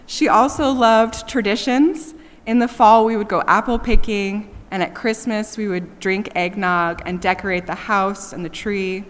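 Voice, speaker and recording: A woman delivers a prepared eulogy for a loved one. She reads it aloud to a gathering in a large church, and long reverb trails her voice. Her pitch sits in the middle range for a woman. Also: fairly even intonation, a little slow. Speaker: eulogist, daughter speaking at a memorial.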